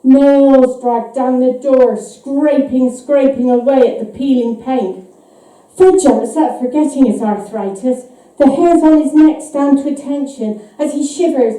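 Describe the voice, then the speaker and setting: Female author reading eerie fiction live, measured and suspenseful, high mellow voice, picked up from a distance in a reverberant space. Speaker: author reading her own short story. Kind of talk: live dramatic story reading. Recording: echoey hall, distant microphone.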